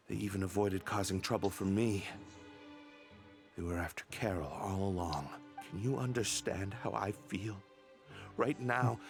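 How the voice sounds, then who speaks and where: A man playing a stern, measured guard captain with a dour manner in a video game. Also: lowish voice. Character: stern, cool-headed male guard captain, quietly grieving and vengeful.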